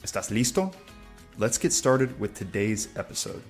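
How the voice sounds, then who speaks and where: Man from the United States, podcast host, slow delivery, roomy sound with faint music. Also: on the low side. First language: English. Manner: relaxed, thinking aloud with fillers. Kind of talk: solo podcast monologue for learners.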